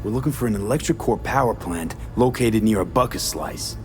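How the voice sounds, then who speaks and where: An actor playing a gruff, sardonic cyberpunk mercenary investigator in a video game. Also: male voice, lowish voice, expressive, brisk, a little mellow, soft background music, natural voice. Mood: wry, analytical. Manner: terse, sardonic.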